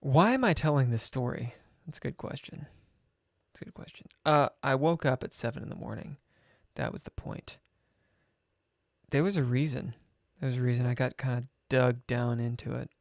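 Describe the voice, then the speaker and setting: A man on a live stream, telling a rambling story in a sardonic, swearing manner, with loud outbursts and whispered asides. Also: medium pitch, normal speed, gravelly, pauses often, dry recording, clean studio sound. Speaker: chess streamer.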